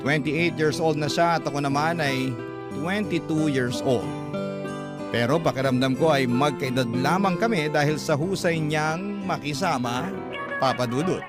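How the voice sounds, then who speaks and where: A man narrating a heartfelt letter in an earnest, storytelling voice pitched a little high. Clear guitar music sits under the reading. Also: a little slow. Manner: earnest storytelling, addresses the host. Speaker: letter reader.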